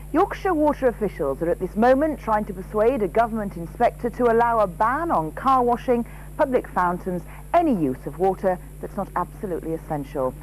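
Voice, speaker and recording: A woman's voice: mid-range voice, rough recording. A woman presenting regional news, reading a scripted bulletin in a TV studio. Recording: faint hum and noise.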